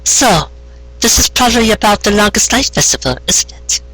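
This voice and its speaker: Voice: medium pitch, very animated, moderate pace, sharp tone, breathy edge, no room sound, pitched above the performer's own voice, exaggerated voice, poor audio. A storyteller voicing a polite, eager young female pony farmer who speaks hesitantly and deferentially. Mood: subdued.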